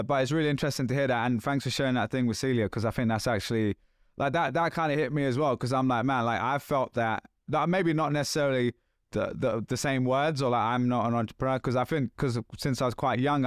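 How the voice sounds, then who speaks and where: A man in his thirties with a London accent, hosting a casual podcast chat in a chatty, animated way with loose slang. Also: medium pitch, smooth, dry recording, clean studio sound. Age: 30s. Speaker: podcast host.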